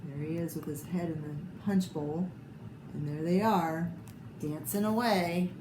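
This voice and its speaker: A woman reading a children's picture book aloud with expressive, theatrical delivery and short asides about the pictures. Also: low voice, measured pace. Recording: echoey room with clear background noise.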